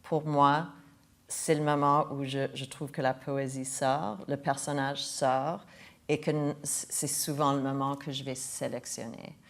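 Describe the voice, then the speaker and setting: Woman host, very low voice, halting delivery full of restarts, talk in a museum hall. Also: flat delivery. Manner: hesitant, many restarts, switches between French and English. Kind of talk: bilingual public talk. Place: museum hall before an audience.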